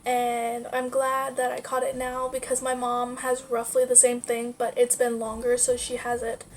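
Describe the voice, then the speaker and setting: A woman's voice: fairly high pitch, monotone, normal speed, a little hiss or hum. A young woman vlogger with a stuffy nose from a sinus infection, chatting casually and rambling with many fillers. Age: young adult. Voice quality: nasal. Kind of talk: casual life-update chat.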